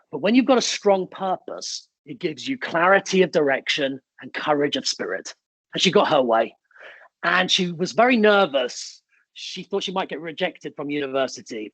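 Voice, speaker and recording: Man, raised in the UK with Italian as first language; high-leaning, dry-miked motivational keynote speaker who tells stories with emphasis. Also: a bit bright, slightly rough, no echo, poor audio. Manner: emphatic, storytelling, punchy short phrases.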